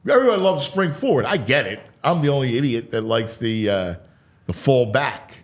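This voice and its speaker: A man's voice: mid-range voice, big pitch swings, moderate pace. An American man hosting a sports talk show in casual banter, repeating and restarting phrases for emphasis.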